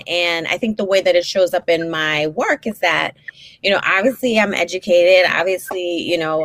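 A woman's voice: on the low side, fairly bright tone, rough recording. A woman in her forties with a Brooklyn accent, a therapist talking candidly and laughing easily in an interview. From Brooklyn, New York. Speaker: licensed clinical social worker, guest. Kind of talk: casual interview talk.

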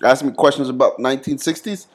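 A man's voice: mid-range voice, fairly even intonation, quick pace. A man hosting a podcast, chatting casually with a friend, mostly through short interjections and plain talk. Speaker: podcast host. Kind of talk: casual chat.

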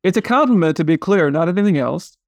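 Man, podcast host; relaxed and halting, asks "right?" a lot, a little higher voice, dry close recording. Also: no echo. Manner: casual, hesitant, many restarts and tag questions. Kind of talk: casual interview chat.

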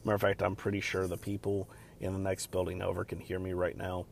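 Deep gravelly-voiced man rambling casually. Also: monotone, fast, slight background noise. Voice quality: deep, loud voice. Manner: rambling, many fillers and restarts. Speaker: podcast host. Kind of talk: casual solo podcast chat.

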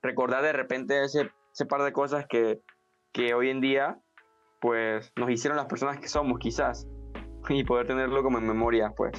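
A man's voice: mid-range voice, steady tone, normal speed, soft background music. Young man co-hosting, slightly muffled sound. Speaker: podcast co-host. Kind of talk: casual chat with friends. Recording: dull lo-fi remote connection.

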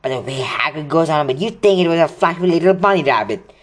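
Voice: medium pitch, animated, fast, pitched above the performer's own voice, natural voice. A reader voicing a grumbling schoolboy wizard, raised and emphatic, during a read-aloud of a children's novel. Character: boy schoolwizard, grumbling friend.

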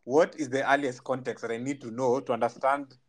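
A man's voice: mid-range voice, steady tone, fairly quick. Teasing man hosting a podcast. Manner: teasing, probing questions. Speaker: podcast host. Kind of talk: casual interview.

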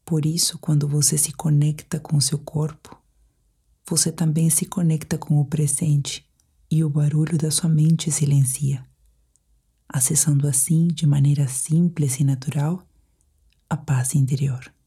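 Breathy, whispery low-voiced Brazilian woman. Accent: Brazilian Portuguese. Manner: slow, calm, soothing, measured. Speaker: meditation guide. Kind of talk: guided sleep meditation.

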